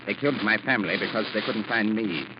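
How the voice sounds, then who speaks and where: An actor playing a bitter, vengeful, menacing schemer in old radio drama, with a theatrical, sardonic, deliberate delivery. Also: male voice, fairly low pitch, natural voice. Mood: bitter menace. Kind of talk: 1940s-50s radio drama acting. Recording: muffled, very noisy old radio recording.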